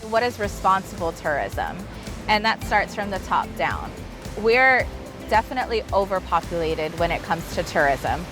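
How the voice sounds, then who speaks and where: A woman in an interview, speaking in a measured, matter-of-fact way. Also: fairly low pitch, sing-song. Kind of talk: interview talk. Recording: music bed underneath.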